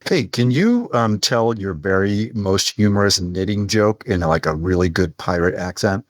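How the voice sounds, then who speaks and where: A man's voice: sits low, lively intonation, moderate pace, no echo, clean studio sound. A man co-hosting a podcast in casual chat, talking playfully with the odd chuckle in his manner. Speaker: podcast co-host.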